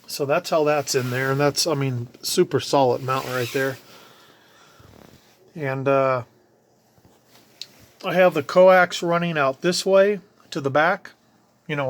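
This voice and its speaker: A man giving a casual, plainspoken walkthrough of his own do-it-yourself work, informally pointing things out as he goes. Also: mid-range voice. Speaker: DIY hobbyist showing his own install. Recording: noticeable ambient background noise.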